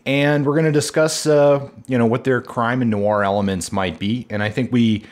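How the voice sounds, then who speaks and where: A man's voice: medium pitch, steady tone, normal speed. A man with an American accent co-hosting a podcast, talking conversationally and thoughtfully about books, sometimes feeling for his phrasing. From United States, from Seattle and the Midwest. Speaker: podcast co-host. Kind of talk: conversational literary discussion.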